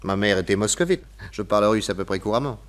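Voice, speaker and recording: An actor playing a shrewd, self-assured detective in a French radio drama, explaining things with theatrical assurance. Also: male voice, fairly low pitch, fast, close to an everyday voice. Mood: confident, amused. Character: shrewd, self-assured male detective planning his disguise.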